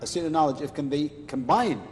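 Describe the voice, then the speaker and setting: A man hosting a religious discussion in a studio. His voice sits fairly high but sounds mellow, and his delivery is measured and unhurried, with Arabic phrases woven in. The room carries a long reverb with a faint low rumble. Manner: measured, slows to stress points, sprinkles Arabic phrases. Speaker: host of a live religious discussion program.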